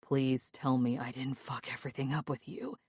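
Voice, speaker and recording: A woman voicing a remorseful young man in love, speaking low and gravelly in a pleading, apologetic way. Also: normal speed, a little mellow, dry recording, studio quality. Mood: pleading tender. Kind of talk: audiobook character voice.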